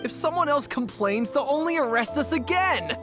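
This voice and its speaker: A woman playing an anxious, excitable young gang member in a video game. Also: sits high, moderate pace, music in the background.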